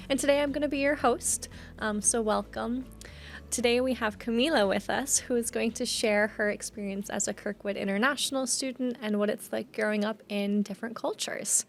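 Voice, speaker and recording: A woman's voice: mid-range voice, gravelly. A woman hosts an interview, upbeat and encouraging, with short back-channel replies. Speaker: host, international student services specialist. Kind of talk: interview hosting.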